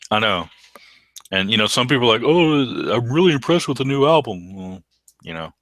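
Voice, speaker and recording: A middle-aged man with a baritone voice, co-hosting a casual podcast chat and speaking with enthusiasm. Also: very animated, normal speed, a bit bright, dry recording. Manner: enthusiastic, repeats words when restarting. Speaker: podcast co-host.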